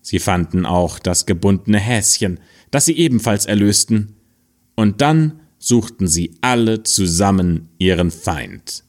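A man reading a fairy tale aloud in a slow, expressive storytelling manner. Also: lowish voice.

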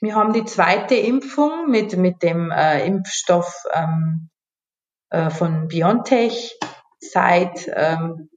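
A woman's voice: on the low side, animated, very slow, highs cut off. A woman, talking as a guest in a casual interview in a chatty, informal way full of side remarks. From Bavaria, Germany. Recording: echoey room.

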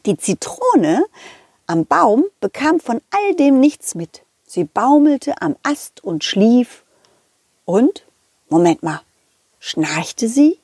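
Slow, expressive woman storyteller. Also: sits high, breathy edge, no room sound. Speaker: storyteller and puppeteer. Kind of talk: expressive children's story narration.

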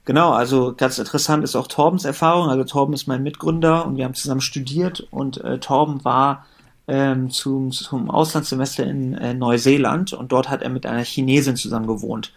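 Man, late 30s, from Germany; relaxed, rambling podcast guest. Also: medium pitch, fairly quick. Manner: relaxed, chatty, many fillers and restarts. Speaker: company co-founder and managing director. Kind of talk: casual podcast interview.

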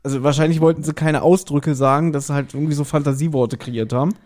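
A man with a somewhat high voice talks as a podcast co-host. He retells a story in casual, conversational German and slips into acted-out quotes along the way. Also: fairly even intonation, quick pace, a little mellow. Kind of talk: casual podcast chat recapping an audio drama.

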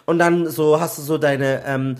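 A man from Nigeria, a guest telling lively stories in casual chat, laughing often as he talks. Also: a little high, a little slow, clear, resonant tone.

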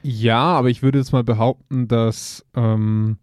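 A man's voice: mid-range voice, fairly even intonation, unhurried, a little mellow, clear, resonant tone, no room sound. A man co-hosting a podcast from an office, chatting casually and making dry, wry remarks. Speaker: podcast co-host. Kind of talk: casual chat.

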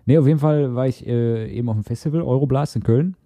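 A man's voice: mid-range voice, fast, dark tone, dry recording, clean studio sound. A man from the Ruhr area with a Ruhr German accent, telling blunt stories in a casual chat. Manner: blunt, storytelling, acts out quotes. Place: studio room in Duisburg.

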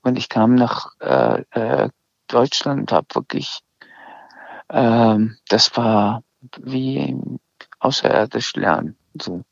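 A middle-aged man with a heavily gravelly voice, speaking slowly and hesitantly, often restarting his sentences. His is Azerbaijani. The recording is dry and slightly dull. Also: mid-range voice, animated, dry recording. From Iran. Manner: hesitant, many restarts and fillers. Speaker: interview guest. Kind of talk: casual conversation.

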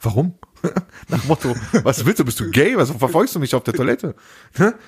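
A man's voice: mid-range voice, sing-song, moderate pace, breathy edge. A young man co-hosting a podcast in casual, slangy chat, telling stories animatedly and acting out the dialogue. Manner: slangy, acts out dialogue in anecdotes. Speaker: podcast host.

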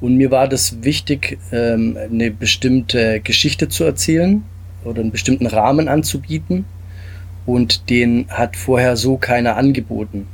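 A man with a husky, slightly breathy voice at an ordinary middle pitch. He explains his tabletop game step by step in a calm, patient way, pausing often on filler sounds. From Italy. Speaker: game designer, guest.

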